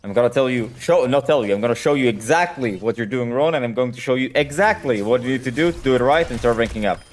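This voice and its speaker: A man's voice: mid-range voice, animated, quick pace. Plain-spoken man coaching live on stream. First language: Hebrew. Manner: direct, answers chat questions. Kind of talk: casual live-stream chat.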